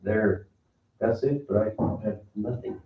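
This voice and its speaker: A hoarse man chatting casually and in a folksy way, as a county commissioner before a meeting. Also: lowish voice, a little slow. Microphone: distant room microphone. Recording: echoey room, distant mic, muffled, faint noise.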